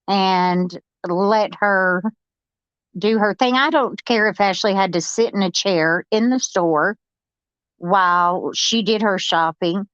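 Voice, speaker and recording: Clear-voiced woman, dull dry recording. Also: medium pitch, fairly bright tone, no room sound. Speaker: commentary video host reading viewer comments. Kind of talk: reading comments and giving opinions.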